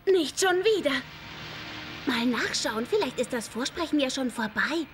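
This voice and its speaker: A woman's voice: high voice, expressive, measured pace. An actress playing a scatty, feisty teenage schoolgirl heroine in an anime audio drama, whiny and snappy. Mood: baffled.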